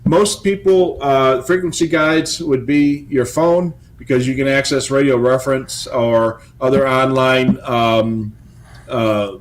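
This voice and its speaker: Man casually sharing tips, halting delivery. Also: medium pitch, slight background noise, poor audio. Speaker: co-host of a hobby show. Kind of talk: casual chat giving practical tips.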